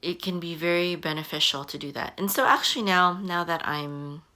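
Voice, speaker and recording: A woman in her early forties giving casual advice to camera, halting often with ums and restarting her sentences. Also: fairly low pitch, pushed and bright. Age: 40s. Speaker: online business coach. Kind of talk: casual talking-head advice.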